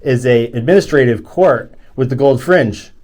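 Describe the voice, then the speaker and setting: A man with a loud, excitable voice arguing legal points with officers in a court lobby, recorded on a handheld phone. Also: medium pitch, normal speed. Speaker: activist recording his arrest. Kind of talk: casual argument with officers. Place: federal court lobby, indoors.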